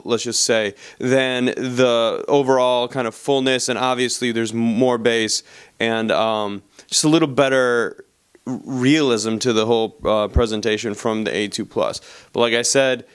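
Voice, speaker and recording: A man with a clear, smooth voice and a mid-range pitch talks through a product review. His delivery is casual and unscripted, and he often stops to search for the right word. The sound is close and dry, with very little room echo. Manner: halting, searching for words. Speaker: audio gear reviewer.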